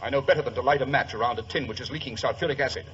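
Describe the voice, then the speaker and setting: An actor playing a brisk, commanding English gentleman detective in 1940s radio drama, with a clipped, theatrical British delivery. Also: medium pitch, lightly acted. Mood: cool, wry. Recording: old muffled radio recording, faint noise.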